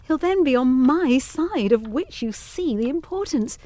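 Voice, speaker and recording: Intense young governess in a gothic drama. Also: female voice, sits high, lively intonation, unhurried, mellow. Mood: tense, urgent. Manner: formal, literary phrasing, urgent. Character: young governess, earnest, intense, anxiously determined. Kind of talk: audio drama acting of Victorian gothic ghost story.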